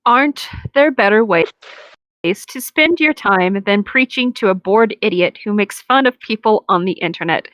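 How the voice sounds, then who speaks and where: A woman's voice: mid-range voice, normal speed, fairly bright tone, no room sound, low-quality recording. A woman co-hosting a casual comic read-aloud, informal and giggly, often breaking off from the text to comment. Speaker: podcast co-host reading a story aloud.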